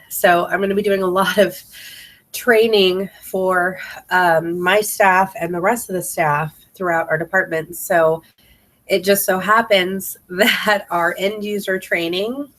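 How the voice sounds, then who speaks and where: A woman's voice: mid-range voice, fairly even intonation, unhurried, fairly bright tone. Bubbly, slightly congested woman vlogger. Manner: bubbly, enthusiastic, rambling. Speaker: independent sales consultant hosting a team training video. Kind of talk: casual chatty video vlog.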